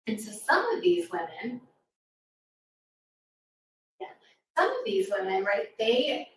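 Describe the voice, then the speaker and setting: A woman's voice: a little high, big pitch swings, takes it slowly. A middle-aged American woman talking informally to children like a teacher, often checking in with a questioning "right?". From New York City suburbs, United States. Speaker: children's author and former teacher. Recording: echoey room, distant mic, faint noise.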